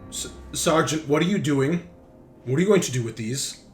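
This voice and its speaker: A man's voice: on the high side, expressive, normal speed, soft background music, natural voice. A man voicing an alarmed, pleading male scientist in a tabletop game, startled and stammering. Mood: alarmed, incredulous.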